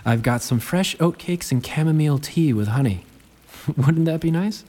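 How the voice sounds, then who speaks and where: A man playing a kindly, eager young host in an audio drama, gentle and solicitous. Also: on the high side, expressive, moderate pace. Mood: soothing concern.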